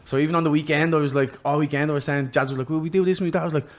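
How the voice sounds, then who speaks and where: Animated irish guest, quick talker, fairly high voice, laughs a lot. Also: male voice. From Ireland. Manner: animated storyteller, laughs often, slangy. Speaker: podcast guest, professional boxer. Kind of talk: casual chat.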